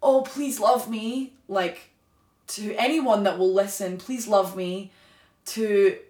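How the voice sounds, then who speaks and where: A woman's voice: medium pitch, fairly even intonation, slow, echoey room. A woman guest on a podcast telling her personal story in a flowing, candid way, full of "like" and "you know". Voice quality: warm, flowing delivery with many "like" and "you know" fillers. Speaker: guest, sacred singer.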